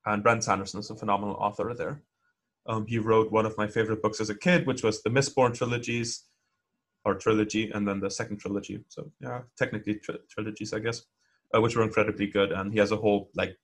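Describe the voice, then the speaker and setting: A man's voice: on the low side, little pitch movement, fast talker. A man co-hosting a podcast in casual chat, rambling with lots of fillers. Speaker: podcast co-host.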